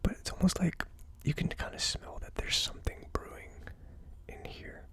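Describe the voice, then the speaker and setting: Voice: normal speed, a bit bright. A person whispering in an ASMR style as host of a tasting video, with a tentative, musing delivery. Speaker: host of a whiskey tasting series.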